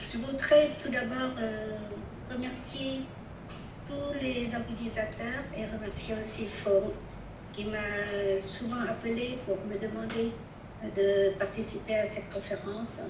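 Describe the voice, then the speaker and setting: A woman in her eighties with a Vietnamese accent gives personal testimony at a conference, halting as she searches for words. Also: level tone. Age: 80s. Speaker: conference speaker. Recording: distant, echoey, noisy with rumble.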